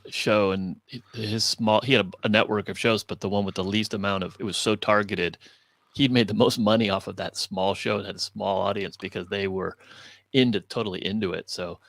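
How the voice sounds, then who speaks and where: Male host for a casual chat, lowish pitch, recorded dry with little room. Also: fairly even intonation, a little fast, gravelly, fairly bright tone, dry recording. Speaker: host asking questions.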